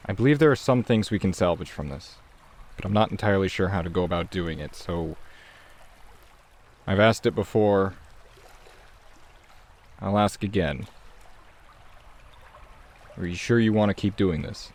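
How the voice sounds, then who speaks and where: A man's voice: deep voice, normal speed, long pauses, a little mellow. A man playing a tabletop horror game, casually describing his character's moves and speaking in character.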